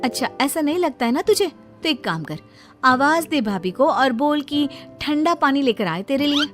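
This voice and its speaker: Woman voicing a meddling, high-pitched grown daughter. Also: animated, moderate pace, over music. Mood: goading. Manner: needling, exasperated, insistent. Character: meddling, teasing grown daughter goading her mother. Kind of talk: Hindi moral story acting.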